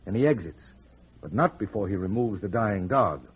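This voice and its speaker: An actor playing a shrewd, sardonic private detective in an old-time radio drama. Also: male voice, fairly low pitch, expressive, normal speed, breathy edge. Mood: explaining, wry. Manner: sardonic, explaining, teasing. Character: wisecracking, shrewd male private detective, smooth with women. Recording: muffled vintage radio drama recording.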